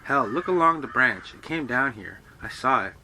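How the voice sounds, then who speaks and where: A man's voice: mid-range voice, lively intonation, moderate pace, pushed and bright, a little hiss or hum, pitched above the performer's own voice, low-quality recording. A man voicing an impatient young golfer who questions the boys curtly. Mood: demanding.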